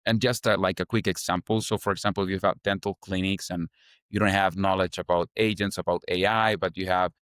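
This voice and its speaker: A man's voice: sits low, fairly even intonation, a little fast, a bit bright, clear, resonant tone, no echo, studio quality. Chilean man speaking casual English. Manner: loose, chatty, strings ideas with "like" and "kind of". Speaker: startup founder guest. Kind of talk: casual interview answers.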